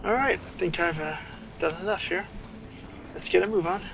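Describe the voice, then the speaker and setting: A man's voice: high-pitched, moderate pace, gravelly, background noise. A man giving casual, off-the-cuff commentary as he plays a video game, musing half to himself. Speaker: gamer giving playthrough commentary. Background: video game sound and music.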